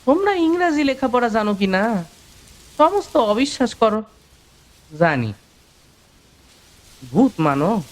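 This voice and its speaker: An actor playing a proud, chatty older village healer in a Bengali audio story, speaking colloquial rural dialect with emphatic, boastful assurance. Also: male voice, extremely high pitch, very animated, takes it slowly, long pauses, exaggerated voice. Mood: scornful. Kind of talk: audio story character dialogue.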